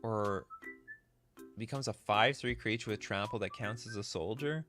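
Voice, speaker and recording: A man hosting a casual live stream, reading aloud and thinking out loud with frequent exclamations. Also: on the low side, normal speed, faint music behind. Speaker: host of a card game live stream.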